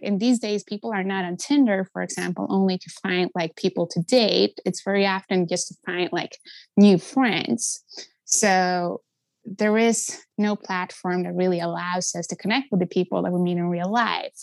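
A woman's voice: fairly low pitch, gravelly, a little mellow, no echo. A woman from Sweden with a Swedish accent, chatting earnestly as an interview guest and filling her speech with "like". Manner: earnest and chatty, many filler likes. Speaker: guest, app founder. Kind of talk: conversational interview.

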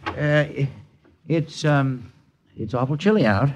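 An actor playing a nervous, furtive, greedy husband in a 1950s radio drama, talking in a hushed, halting way. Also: male voice, mid-range voice, animated, dull audio. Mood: nervous, hushing. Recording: noisy old radio recording.

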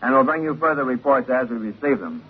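A man playing a serious highway patrol officer reading a formal bulletin in a 1950s radio drama. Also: lively intonation, fast talker, noisy background, natural voice. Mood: official, serious. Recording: muffled, tinny old radio recording.

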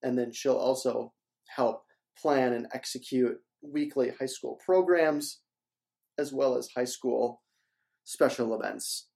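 A man's voice: mid-range voice, takes it slowly, gravelly, a little mellow. A young man from Minnesota, a church staff member hosting a casual interview, upbeat and promotional and often repeating words.

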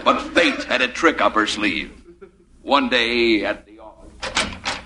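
A man's voice: mid-range voice, slow pace, noisy background, lightly acted. Man playing a melodramatic lovesick werewolf in disguise. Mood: confessional, wry. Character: lovesick, anxious male werewolf posing as advertising executive. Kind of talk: 1950s radio comedy acting.

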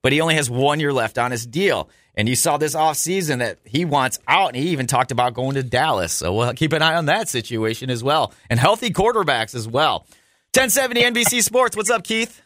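A man's voice: a little high, expressive, brisk, fairly bright tone, no room sound. A man hosting a sports talk radio show, delivering commentary from a studio.

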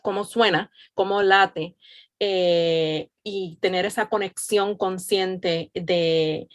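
A woman from Puerto Rico with a Puerto Rican Spanish accent, a meditation instructor introducing herself calmly on a podcast, with frequent fillers. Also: mid-range voice, slow pace, no room sound, clean studio sound. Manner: calm, gentle, with frequent fillers.

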